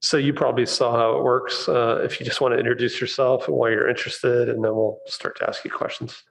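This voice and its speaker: Man, meeting chair, casual quick instructions, gravelly voice. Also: mid-range voice, flat delivery, a bit bright, poor audio.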